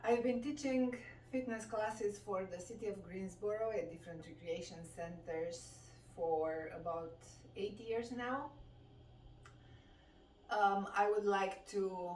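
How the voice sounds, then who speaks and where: Female exercise instructor, Romanian accent, measured and slow delivery of movement cues; roomy, off-mic sound with faint noise. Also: medium pitch, darkish tone. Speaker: fitness and qigong instructor. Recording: distant mic, echoey room, background noise.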